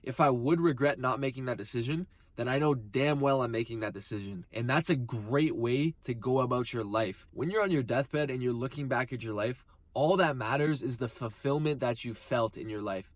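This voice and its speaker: A man's voice: medium pitch, moderate pace, few pauses. A young man of twenty delivers motivational advice straight to the viewer in an earnest, emphatic way, driving his points home by repeating phrases. His voice sits in a middle range for a man. The sound is dry and close, with no room echo around it. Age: 20s. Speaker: motivational vlogger. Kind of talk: motivational talk to camera.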